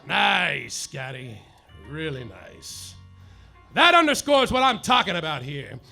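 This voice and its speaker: A man playing a boozy, hard-boiled male private eye, narrating in a mock-noir deadpan that drags out its punchlines, live on a stage over music. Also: on the high side, slow, vocal fry. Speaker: comedic detective narrator. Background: live music and audience laughter.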